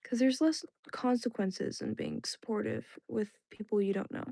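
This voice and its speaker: A woman's voice: a little high, fairly even intonation, normal speed, creaky, mellow, no room sound. A young woman whose first language was Indonesian, chatting casually with her stream viewers in a rambling way, full of "like"s and restarts. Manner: rambling, filler-heavy, many "like"s and restarts. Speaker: livestream host. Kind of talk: casual chat with live chat viewers.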